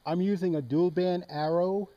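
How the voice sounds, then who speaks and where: A man's voice: sits high, steady tone, normal speed, warm tone, dry recording, dull audio. A man from Oregon chatting casually as an amateur radio operator. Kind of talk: casual ham radio chat. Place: outdoors on a mountain, speaking into a handheld radio.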